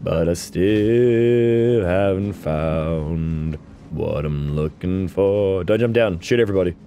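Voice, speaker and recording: A hoarse man whose voice is shot, casually chatting and teasing his co-host while they play a video game. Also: sits low, takes it slowly, dark tone, slight background noise. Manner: teasing, swears freely, laughs often. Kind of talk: casual gaming chat.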